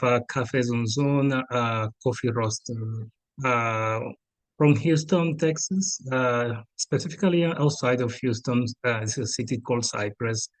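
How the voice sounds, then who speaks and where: A middle-aged man from Cuba, a native Spanish speaker, telling his story as an interview guest, halting with many fillers and restarts. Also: mid-range voice, no room sound, poor audio. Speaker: guest, cafe founder. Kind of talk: casual interview.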